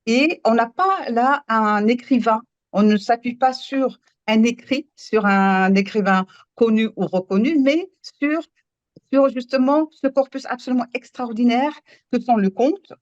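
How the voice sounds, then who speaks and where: A woman's voice: a little high, unhurried, no room sound. A woman storyteller whose first language is Moroccan Arabic, speaking earnestly and searching for her words in a panel discussion over a remote connection. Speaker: storyteller and workshop leader. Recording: dull dry remote audio.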